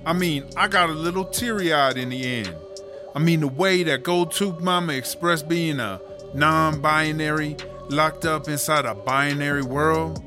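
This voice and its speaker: A man's voice: a little high, a little slow, music in the background, natural voice. A man playing a brash, foul-mouthed rap diva in a comedy audio drama, sassy and slangy. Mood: impressed, sassy.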